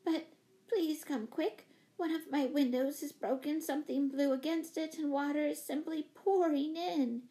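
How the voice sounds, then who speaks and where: A woman's voice: high voice, lively intonation, normal speed, dark tone, voice pushed up. A woman voicing a fluttery, anxious, apologetic older spinster in a children's storybook, gushing and flustered. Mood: flustered, breathless.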